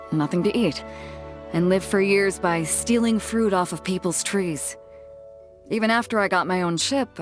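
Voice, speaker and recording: A woman's voice: lowish voice, close to an everyday voice. A woman playing a bold, big-hearted ship captain for a video game, with a confident, frank and laid-back manner. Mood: somber, reflective. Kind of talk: video game character voice lines.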